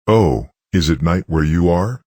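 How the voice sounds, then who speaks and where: A man's voice: deep voice, lively intonation, unhurried, dark tone, no echo, studio quality. A man voicing a dead young American girl, chatty and eerie, in a horror story. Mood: chatty, bright.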